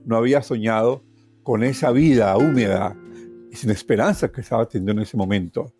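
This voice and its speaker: A man's voice: mid-range voice, fairly quick, a little mellow, faint music behind. A man from Colombia with a Colombian accent, telling his own life story as a guest. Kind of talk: personal storytelling.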